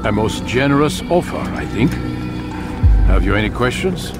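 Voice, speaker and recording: An actor playing a stern older master of assassins in a video game, measured, formal and authoritative. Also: male voice, mid-range voice, big pitch swings, over loud music, natural voice. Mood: brisk, commanding. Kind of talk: dramatic video game acting.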